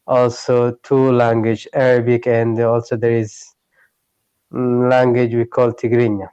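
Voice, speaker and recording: Man, learner of English, slow unhurried delivery, halting and searching for words, dry close sound. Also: mid-range voice, level tone, warm tone, clean tone, dry recording, dull audio. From Eritrea. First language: Tigray. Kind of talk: online tutoring conversation. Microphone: video call.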